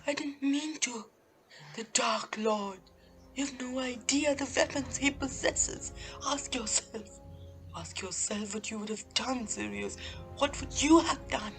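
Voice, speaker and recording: Slow, stammering little coward voiced by a woman. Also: a little high, gravelly, soft background music, rough recording. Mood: pleading, desperate. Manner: stammering, halting. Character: small, cowardly, pleading, fawning traitor man. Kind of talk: audio drama acting.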